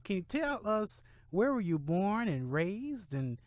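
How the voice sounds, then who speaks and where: High-voiced American male radio host. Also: very animated, slow, dry recording. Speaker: radio show host, interviewer. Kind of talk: conversational radio interview. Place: radio studio. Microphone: studio microphone.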